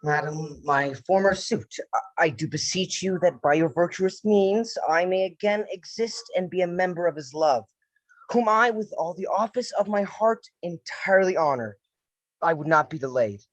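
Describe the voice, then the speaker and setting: A woman playing a courteous but evasive young male officer in a Shakespearean radio play, with a husky voice. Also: fairly low pitch, lively intonation, moderate pace, no echo, low-quality recording. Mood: humble, earnest.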